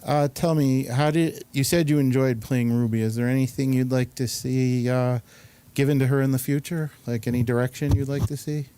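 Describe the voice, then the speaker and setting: A man hosting a casual talk show, speaking in a halting but enthusiastic, rambling way. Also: mid-range voice, fairly even intonation, mellow, clean tone. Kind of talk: casual rambling round-table chat.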